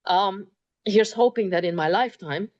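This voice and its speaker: A woman, hosting a talk in a thoughtful way, pausing with many ums. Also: mid-range voice, lo-fi sound. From Transylvania, Romania. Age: 50s. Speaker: interview host. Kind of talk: moderated talk.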